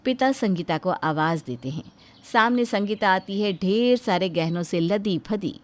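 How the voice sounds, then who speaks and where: Lively woman narrating a dramatic story. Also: mid-range voice, unhurried.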